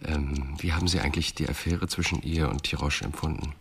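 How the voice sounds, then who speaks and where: An actor playing a composed, insistent police detective in a German radio crime drama, asking measured questions. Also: male voice, extremely low pitch, fairly even intonation, creaky, fairly bright tone, husky, full character voice. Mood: probing, insistent.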